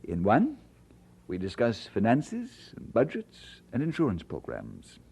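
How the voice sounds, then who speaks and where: An actor playing an earnest, playful and devoted young Scottish preacher in an audio drama, speaking expressively. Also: male voice, mid-range voice, wildly swooping pitch, a little slow, gravelly, a little mellow, husky, full character voice. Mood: earnest, firm. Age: young adult.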